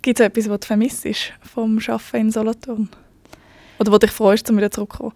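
A woman's voice: fairly high pitch, level tone, fast talker, a little mellow, no echo. A woman with a Swiss German accent, hosting a podcast and asking short questions. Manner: brief questions and backchannels. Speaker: podcast host. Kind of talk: interviewing.

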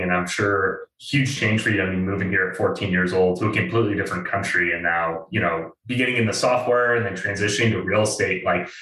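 A man's voice: lowish voice, sharp tone, reverberant, far from the mic, rough recording. A man hosting an interview podcast with an enthusiastic, emphatic delivery, speaking in a casual, upbeat style. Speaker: podcast host. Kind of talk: podcast intro and interview chat.

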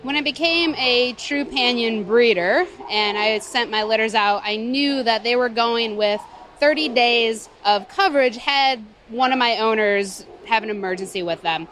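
A woman's voice: fairly high pitch, a little slow, a bit bright. A woman giving an earnest testimonial as a veterinarian and breeder, recorded with some background noise.

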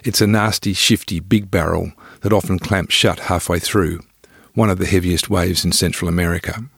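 A man's voice: low voice, vocal fry, no echo, studio quality. A middle-aged man reading his own travel memoir as scripted first-person narration.

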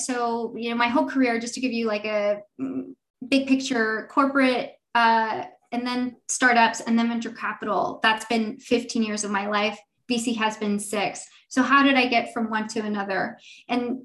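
Woman in her thirties, guest, high voice, talkative and animated. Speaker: venture fund partner, guest. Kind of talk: conversational interview answers.

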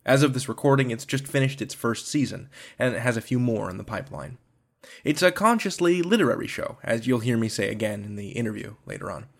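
A man's voice: medium pitch, expressive, a little fast, gravelly. A man hosting a podcast, giving a conversational scripted introduction in wry, literate phrasing. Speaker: podcast host.

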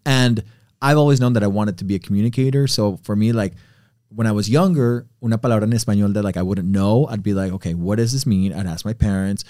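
A man's voice: fairly low pitch, fast talker, mellow, no echo, clean studio sound. A young man hosting a casual chat, upbeat and affirming, often checking in with "right?". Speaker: podcast host.

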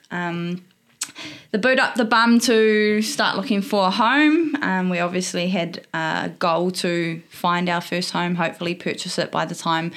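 A New Zealand woman in her thirties talks easily as a guest, her voice sitting a little low. She strings thoughts together with plenty of ums and a ready laugh. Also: fairly bright tone. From Eastern Bay of Plenty, New Zealand. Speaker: netball player guest. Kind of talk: casual interview chat.

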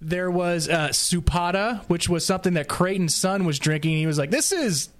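Higher-pitched man in casual roundtable chat. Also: lively intonation, moderate pace. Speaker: podcast host recapping an audiodrama.

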